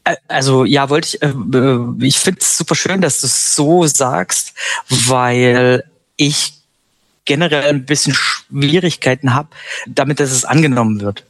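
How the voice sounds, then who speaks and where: A male singer speaking his native German in an interview, thoughtful and a little halting as he searches for words. His voice sits at an ordinary middle pitch, recorded dry and close with hardly any room sound. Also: no room sound. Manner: reflective, hesitant, self-deprecating. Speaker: band singer and lyricist. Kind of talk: casual interview talk.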